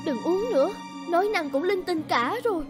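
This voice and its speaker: An actress playing a gentle, anxious, devoted village bride in a cartoon folk tale, pleading and hesitant. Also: female voice, extremely high pitch, lively intonation, a little fast, over music. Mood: horrified. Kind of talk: animated folk-tale dialogue.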